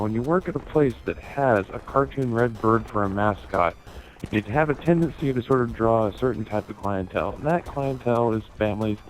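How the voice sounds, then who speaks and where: A man with a slightly low voice spins a casual, funny story over an online game. He swears freely and acts out the dialogue as he goes, in the manner of someone talking to friends. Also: slight background noise, rough recording. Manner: sweary, animated retelling with acted-out dialogue. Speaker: storyteller in an online gaming video. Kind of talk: casual comic anecdote told over gameplay.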